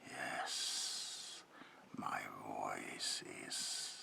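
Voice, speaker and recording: A man playing a predatory, courtly vampire baron in a whispered ASMR roleplay, slow and theatrical, with a breathy gravelly whisper and softly menacing politeness. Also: full character voice. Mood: coaxing, hungry.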